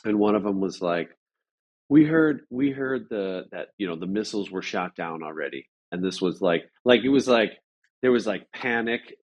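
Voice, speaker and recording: A man with an American accent and a fairly low voice talks as a guest in a casual interview. He rambles and stops and starts as he tells his story. The audio is somewhat dull and lo-fi. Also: expressive. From Chicago, United States. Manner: halting, rambling, frequent "like" and "you know".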